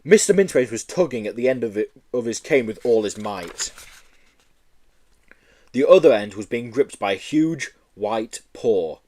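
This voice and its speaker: A man reading a children's story aloud as an expressive audiobook narrator. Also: mid-range voice, normal speed. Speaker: audiobook reader.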